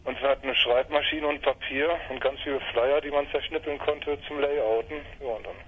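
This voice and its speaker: A man's voice: mid-range voice, fairly even intonation, fairly quick. A man in his thirties from northwestern Germany, a fanzine maker talking casually over the phone, rambling and hesitant with fillers. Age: 30s. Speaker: fanzine maker, interview guest. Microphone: telephone. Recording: tinny phone line, husky.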